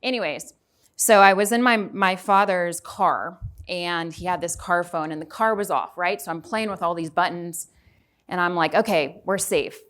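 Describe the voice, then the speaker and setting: A woman's voice: fairly low pitch, moderate pace, a bit bright. A woman in her forties from Houston, giving a chatty conference talk and asking the audience questions. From Houston, Texas. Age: 40s. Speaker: conference speaker. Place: conference hall.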